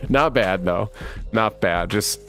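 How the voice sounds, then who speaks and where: A man in his thirties hosts a relaxed livestream, his low voice carrying a slight rasp. He rambles and laughs his way through viewer comments, and soft music plays faintly behind him. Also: slow. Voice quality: rough smoker's voice. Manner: laid-back and rambling, laughs often. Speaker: livestream host. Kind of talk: casual livestream chat reading viewer comments.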